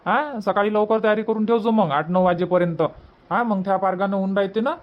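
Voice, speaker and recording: A man's voice: high voice, fairly even intonation, a little fast, dull audio, lightly acted. Man as an easygoing cartoon village uncle, Varhadi Marathi accent. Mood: agreeable. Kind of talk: cartoon comedy dubbing.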